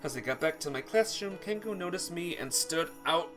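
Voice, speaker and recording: A man reading a visual novel aloud as a playthrough host, narrating in an expressive, theatrical way. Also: on the high side, normal speed. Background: music.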